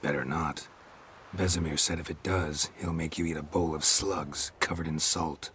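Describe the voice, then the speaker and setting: A hardened video game monster hunter with an extremely deep, gravelly voice. He speaks in terse, deadpan lines with a dry wry edge. A faint ambient noise sits behind him. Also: normal speed. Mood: firm, teasing. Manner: deadpan, terse, dryly teasing. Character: stoic, wry adult male monster hunter and mentor.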